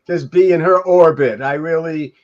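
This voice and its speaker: A man's voice: fairly high pitch, moderate pace, crowd noise. An older man chatting loosely and ramblingly as a podcast co-host over a video call. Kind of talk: casual chat. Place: room at home, video call. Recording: dull lo-fi video-call audio.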